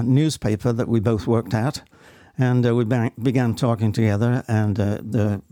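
A man in his seventies with an English accent, speaking reflectively and haltingly as an interview guest. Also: medium pitch, dark tone, dry recording, studio quality. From England. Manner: halting, deliberate.

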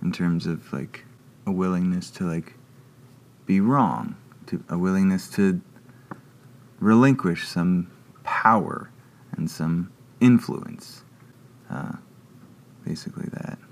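A man with a very low, deep voice, speaking in an unhurried, halting way. He restarts his sentences often and leans on fillers as he thinks aloud in a relaxed interview chat. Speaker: guest. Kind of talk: casual conversation.

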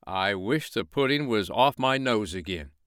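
A man's voice: on the low side, animated, moderate pace, a bit bright, dry recording, studio quality. A man doing a mild, easygoing woodchopper. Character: simple, easygoing peasant woodchopper.

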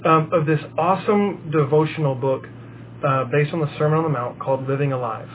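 Higher-voiced co-host, halting, lo-fi. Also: male voice. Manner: rambling, halting with many fillers. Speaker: podcast co-host. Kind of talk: casual chat. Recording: lo-fi phone-like sound with faint hiss.

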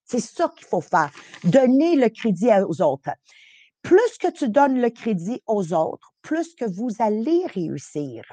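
A woman with a Quebec French accent speaks as a motivational podcast host. Her voice sits fairly high and the sound is very dry. She is heartfelt and emphatic, repeating key phrases to drive them home. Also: big pitch swings, slow pace, mellow, no room sound. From born in Italy. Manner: slips English phrases into French. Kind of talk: live motivational talk.

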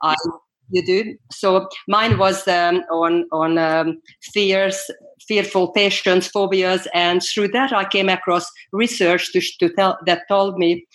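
A woman speaks in a fairly low voice. She tells her story earnestly as an interview guest, pausing and restarting now and then. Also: steady tone. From Hungary. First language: Hungarian. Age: 60s. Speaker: guest dentist.

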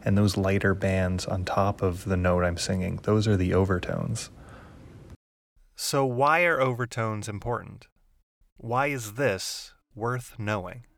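A man with a low voice, hosting a music podcast and calmly explaining ideas in scripted narration from his office. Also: a little slow, creaky, no room sound. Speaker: podcast host. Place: home office.

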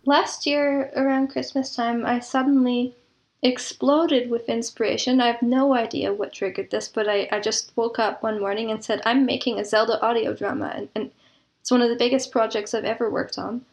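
A woman's voice: on the high side, fairly even intonation, moderate pace. A woman speaking casually as an interview guest, a composer talking about creative work. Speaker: interview guest, composer and audio drama producer.

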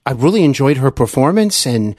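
A man hosting an interview podcast, speaking haltingly and in an effusively appreciative, conversational way. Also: mid-range voice, big pitch swings, darkish tone, no echo, clean studio sound.

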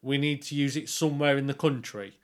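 A man's voice: medium pitch, fairly even intonation, a little fast. A British man co-hosting a podcast, giving critical opinions in casual chat. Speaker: podcast co-host. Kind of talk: casual chat reviewing theatre.

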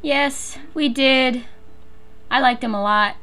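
A woman's voice: sits high, slow, bright, forceful tone. An American woman hosting a fan podcast, chatting in a giggly, enthusiastic way. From USA. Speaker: podcast host. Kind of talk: casual fan commentary chat.

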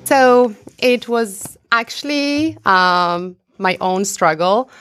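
A woman answering interview questions conversationally as a guest, with halting, hesitant phrasing. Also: mid-range voice, lively intonation, fairly bright tone, soft background music. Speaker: interview guest, beauty product founder.

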